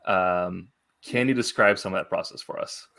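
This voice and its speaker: Lowish-voiced man hosting an interview. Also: moderate pace, creaky, sharp tone. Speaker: interview host. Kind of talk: conversational interviewing.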